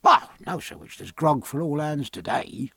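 A man's voice: on the high side, expressive, normal speed, vocal fry, pushed and bright, slightly rough, dry recording, studio quality, heavily acted. A man voicing a deferential naval steward, a servant with blunt, uneducated phrasing. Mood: dutiful.

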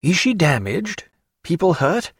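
An eager young naval officer played by a male narrator, pitched well up with lively swings. He is brisk and earnest, an audiobook character of the sailing age, heard very close and dry. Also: husky, dry recording, full character voice. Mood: solicitous.

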